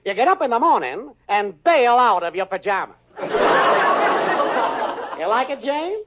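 A man's voice: very high-pitched, very animated, slow pace, very noisy, exaggerated voice. An actor playing a wisecracking small-time hustler boyfriend in a 1940s radio sitcom, full of brash salesman patter. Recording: dull old radio broadcast, audience laughter.

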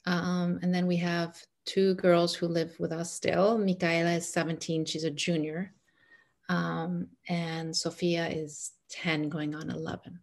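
A middle-aged woman from Egypt talks as a guest in a relaxed interview. Her voice sits low and turns gravelly at phrase ends, and she talks in an earnest way with fillers and restarts. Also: unhurried. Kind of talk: casual conversational interview.

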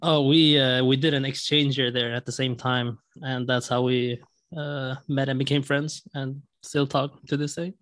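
A man's voice: medium pitch, flat delivery, normal speed, fairly bright tone, no echo. A young man from Sweden telling a story as a podcast guest, with many fillers and restarts. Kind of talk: casual storytelling.

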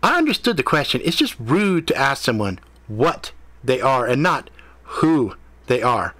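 A man's voice: big pitch swings, measured pace, a bit bright, dry recording. A man voicing a sassy female fey cat who talks in blunt slang. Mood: indignant. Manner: brash, slangy, high-pitched. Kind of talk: audiobook character voice.

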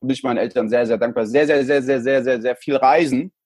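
A man's voice: mid-range voice, fairly even intonation, quick pace, darkish tone, slightly rough, no echo. A man in his thirties, raised in El Salvador, chatting as an interview guest and often repeating his words. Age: 30s. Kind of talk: casual interview talk.

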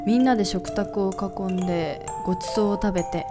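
Radio-drama lead: cool, reserved young man played by a woman, slow wistful delivery, terse, clear music underneath. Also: medium pitch, close to an everyday voice. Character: cool brooding young man, former member of shady organization. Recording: music behind.

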